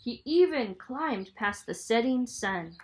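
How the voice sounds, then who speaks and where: A woman reading a children's story aloud in a dramatic, expressive storytelling manner. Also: fairly high pitch, takes it slowly. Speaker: storybook reader, school superintendent.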